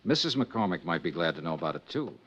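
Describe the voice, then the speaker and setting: An actor playing a wry, hard-boiled insurance investigator who also narrates, in a dry, clipped 1950s radio-drama style. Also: male voice, deep voice, very animated, fast talker, fairly bright tone, heavily acted. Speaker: narrator and lead. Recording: muffled old radio broadcast with faint music.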